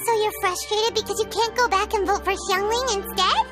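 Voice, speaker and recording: A woman's voice: moderate pace, over loud music. A woman voicing a tiny, childlike fairy companion in an anime-style game, exuberant and cheeky. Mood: curious, friendly. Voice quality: squeaky, childlike. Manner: exuberant, speaks of herself in third person.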